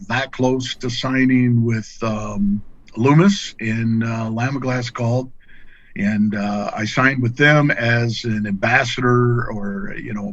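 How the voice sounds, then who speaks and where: A middle-aged man chats as a podcast guest in a folksy, plain-spoken style. His pitch is average. The sound is dry and a little dull, like lo-fi call audio. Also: no echo. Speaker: podcast guest, angler and fishing pro staffer. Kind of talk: casual conversational storytelling.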